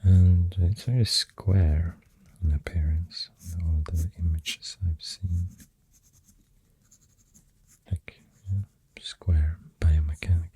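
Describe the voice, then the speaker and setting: A soft-spoken ASMR host with a Swedish accent, half-whispering in a breathy voice and hesitantly rambling up close to the microphone. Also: low-pitched, fairly even intonation. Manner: hushed, hesitant, pausing mid-thought. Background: pencil writing sounds.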